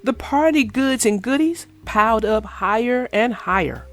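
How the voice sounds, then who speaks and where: A woman reading a children's picture book aloud in an expressive, sing-song storytelling manner. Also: fairly high pitch, a little slow, faint music behind. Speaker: storybook reader. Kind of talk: children's read-aloud narration.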